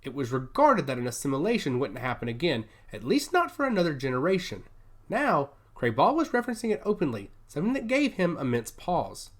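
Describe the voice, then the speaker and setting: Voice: mid-range voice, big pitch swings, brisk. A narrator reading a dramatic scripted story in the manner of an audiobook.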